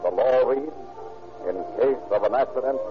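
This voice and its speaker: A man's voice: mid-range voice, moderate pace. A man narrating and announcing in a formal, declamatory 1930s radio style. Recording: dull lo-fi 1930s radio recording with faint music.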